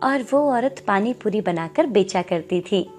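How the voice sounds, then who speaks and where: A woman's voice: mid-range voice, lively intonation, measured pace, a little mellow, faint music behind. A woman reading scripted narration for a moral story as its storyteller.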